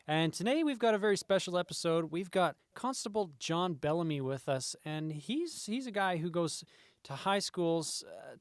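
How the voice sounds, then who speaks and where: A man voicing a loud, upbeat talk show host introducing a guest. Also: fairly high pitch, clean tone, no echo, clean studio sound, pitched above the performer's own voice, natural voice. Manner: loud, chipper presenter delivery.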